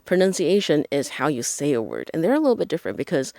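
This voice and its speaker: A woman's voice: sits low, fast talker, gravelly, no echo, clean studio sound. A woman from Taiwan, an English teacher, talking casually as a podcast guest and acting out the voices she quotes. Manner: animated, acts out quoted voices.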